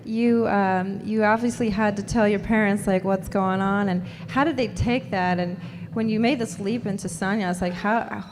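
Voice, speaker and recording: A woman's voice: mid-range voice, steady tone, moderate pace, noisy background. A woman hosting an interview, prompting her guest in a friendly, chatty way. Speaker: host, interviewer. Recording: long hall reverb.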